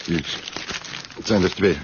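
A man's voice: deep voice, a little slow. An actor with a Flemish accent playing a diffident, slightly offended father helping police as a witness in an audio drama. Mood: helpful, hesitant. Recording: dull lo-fi recording, faint noise.

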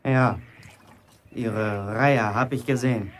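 An actor playing a cheeky, boastful young bricklayer in a German radio play, talking colloquially and defiantly. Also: male voice, medium pitch, fairly even intonation, slow, close to an everyday voice. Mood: defiant, mocking. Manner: colloquial, provocative, self-justifying.

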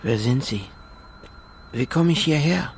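A man's voice: medium pitch, animated, a little slow, a little hiss or hum. An actor playing a desperate, earnest man searching for his lost beloved in a radio drama. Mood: bewildered, searching. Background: music.

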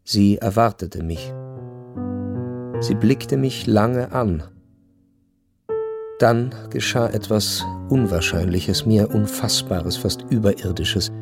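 Reflective male narrator over music. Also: lowish voice. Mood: somber, reflective. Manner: measured, reflective, short deliberate sentences. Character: returned soldier son, somber first-person narrator. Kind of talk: literary radio play narration.

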